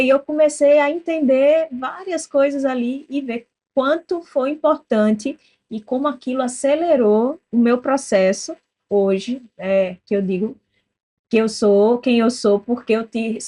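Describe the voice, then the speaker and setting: A woman from southern Brazil with a southern Brazilian accent, hosting a casual interview and telling lively stories that act out quoted dialogue. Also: on the high side, a little slow. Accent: southern Brazilian Portuguese. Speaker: podcast host.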